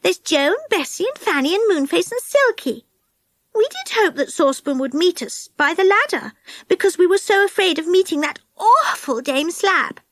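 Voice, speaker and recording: Woman doing a chatty young girl, very high and lively, storybook. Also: moderate pace, no room sound, full character voice. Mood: eager.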